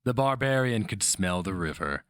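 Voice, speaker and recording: Casting: man with a low register for solemn, theatrical fantasy audiobook narration, recorded dry. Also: lively intonation, normal speed, fairly bright tone, clear, resonant tone, dry recording. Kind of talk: dramatic scripted fantasy narration.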